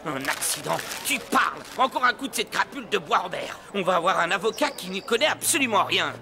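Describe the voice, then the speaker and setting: High, animated grumbling older man. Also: fairly quick, vocal fry, slight background noise, full character voice. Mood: bitter, wary. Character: indignant, grumbling older man, hot-tempered but protective. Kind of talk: dubbed cartoon acting. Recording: music behind the voice.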